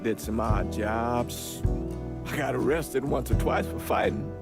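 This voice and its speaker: A man's voice: mid-range voice, a little slow, close to an everyday voice. An actor playing a reflective American priest, candid and tender, recounting his past as if interviewed in a game cutscene. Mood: wistful. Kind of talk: documentary-style interview acting in game. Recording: loud guitar music behind.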